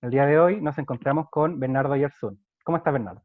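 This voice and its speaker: A man's voice: medium pitch, fast talker, dry recording. A man hosting an interview over a video call, polite and formal. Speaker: host, school interviewer. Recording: tinny phone-like video call audio.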